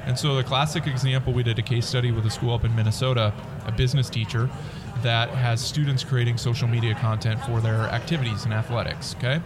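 A man's voice: medium pitch, fairly even intonation, quick pace, few pauses, noisy background. A man being interviewed as a company representative, explaining his product in a conversational way. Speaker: interview guest, company representative pitching school software.

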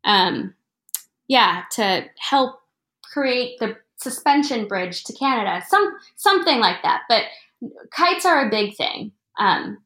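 A woman's voice: on the high side, animated, slow pace, pushed and bright, reverberant. A young woman from Florida, a podcast guest talking casually, hesitant, with many fillers. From Florida, United States. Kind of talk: casual interview.

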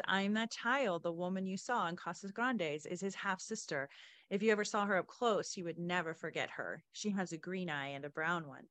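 A woman hosts a book podcast in a fairly low voice. She reads passages expressively and slips into informal asides. The recording is very dry, with no room around the voice. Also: fairly bright tone, no room sound. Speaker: podcast host. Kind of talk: reading book excerpts and interviewing the author.

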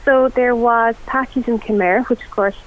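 A woman's voice: on the high side, steady tone, moderate pace. A middle-aged Irish woman giving an enthusiastic, chatty food report on the radio. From Ireland. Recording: tinny phone line.

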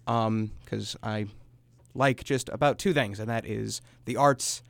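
A man's voice: fairly low pitch, steady tone, measured pace, creaky, dry recording. A nineteen-year-old American man giving casual interview answers as a guest on a show. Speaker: guest, amateur actor.

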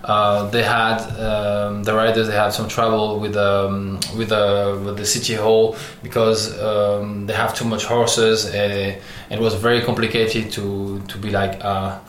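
A man's voice: fairly low pitch, completely flat delivery, fairly bright tone. Hesitant man from Algeria, raised in France. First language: French. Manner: many pauses and restarts, searching for English words. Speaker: artist guest. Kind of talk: halting interview answers. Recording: echoey room with faint noise.

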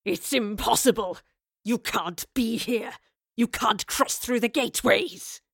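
A woman's voice: medium pitch, animated, unhurried, a bit bright, no room sound, clean studio sound. A woman voicing a pompous, hot-tempered male villain in a story, with haughty, declamatory delivery. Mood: furious. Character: raging, pompous male villain, theatrical and menacing.

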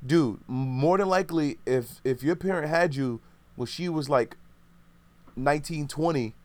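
A man's voice: fairly high pitch, normal speed, darkish tone, dry recording. Opinionated man in his thirties, rambling, self-correcting, dry close sound. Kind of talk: casual podcast chat.

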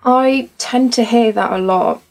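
A woman's voice: fairly high pitch, a little slow, vocal fry, a little mellow. A young woman from Tunisia, a student society founder, talking earnestly and reflectively in a podcast conversation.

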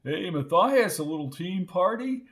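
A man's voice: sits high, very animated, unhurried, pitched above the performer's own voice, full character voice. A man voicing a rowdy, bickering fellow who talks in a boisterous way. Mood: rowdy, defensive. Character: rowdy, cackling man in a Hawaiian shirt, bickering.

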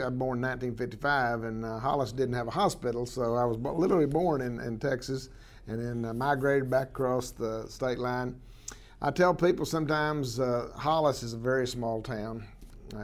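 Man in his sixties, rambling, unhurried storyteller, lots of uh. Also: mid-range voice. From southwestern Oklahoma. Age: 60s. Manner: rambling, many uh fillers and restarts, chuckles. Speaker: interview guest, wildlife biologist. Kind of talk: relaxed storytelling interview.

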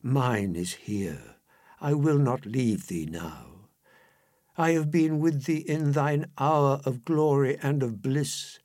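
A man's voice: medium pitch, expressive, takes it slowly, a little mellow, no echo, clean studio sound. A man voicing a devoted, anguished noblewoman in an impassioned, declamatory verse recitation. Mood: tender, exhorting. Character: devoted, anguished noblewoman pleading to stay with her dying husband.

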